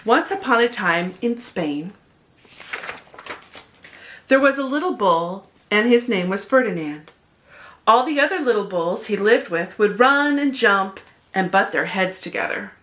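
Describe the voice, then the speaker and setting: A woman's voice: mid-range voice, lively intonation, unhurried, pauses often, fairly bright tone, a little hiss or hum. A woman narrating a children's picture book expressively, as a read-aloud host speaking to young listeners.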